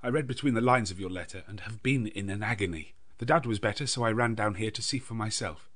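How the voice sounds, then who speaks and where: An eager young gentleman from a gothic novel, as a male reader plays him. He sounds anxious and devoted, with brave earnestness. The pitch is lifted above the narrating voice. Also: quick pace.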